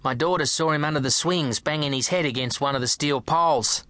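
A man voicing a kindly middle-aged neighbour who speaks in a calm, matter-of-fact way. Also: fairly high pitch, brisk, natural voice. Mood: reassuring.

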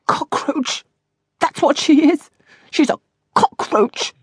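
A woman's voice: high-pitched, takes it slowly, dry recording, studio quality, pitched above the performer's own voice. A woman voicing a stout, down-on-her-luck lady lodger, indignant and self-pitying, with theatrical exclamations. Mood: furious muttering.

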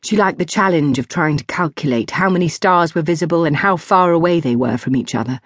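A woman's voice: low voice, fairly quick, dry recording. Woman narrating an audiobook, close and dry. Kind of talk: scripted story narration.

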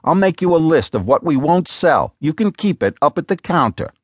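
A man's voice: fairly high pitch, big pitch swings, moderate pace, husky, no echo, voice pushed up. A bossy, exasperated woman, as a male narrator puts her on, scolds in a raised, sarcastic voice. Her lines swing wildly up and down for emphasis. The dry booth leaves no room sound around her. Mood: insistent. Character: sharp-tongued exasperated woman, bossy business partner.

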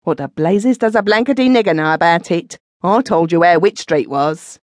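A reader doing a gruff tough. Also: medium pitch, sing-song, normal speed, no echo, studio quality, pitched above the performer's own voice, close to an everyday voice. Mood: angry. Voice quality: gruff, growling. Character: surly brawling London dockside man.

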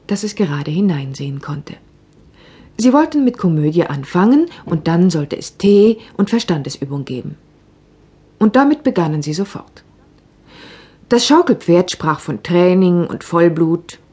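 A woman narrating a fairy tale, expressive and often dropping into a soft whisper. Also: on the low side, husky. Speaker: audiobook narrator.

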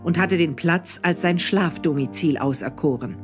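Higher-voiced man narrating horror. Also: moderate pace. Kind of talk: scripted horror audio drama narration. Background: music.

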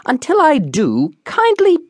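A man's voice: very high voice, huge pitch swings, measured pace, a little mellow, dry recording, exaggerated voice. An eccentric detective of comic radio drama. He declaims with pompous certainty, his voice leaping theatrically across a wide range. The sound is dry and close. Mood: haughty, irritated. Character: eccentric, pompous male detective, absurdly sure of his deductions.

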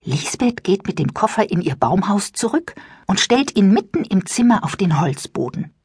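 A woman narrating a children's story expressively and with suspense, in a breathy, at times whispery voice. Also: medium pitch, big pitch swings, moderate pace, darkish tone, no echo, studio quality. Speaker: audiobook narrator. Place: dry studio.

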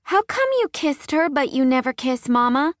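A woman's voice: high-pitched, lively intonation, normal speed, no echo, studio quality, voice pushed up. Woman doing a frightened little girl. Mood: pleading. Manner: childlike, pleading, breathless. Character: sassy four-year-old girl, frightened.

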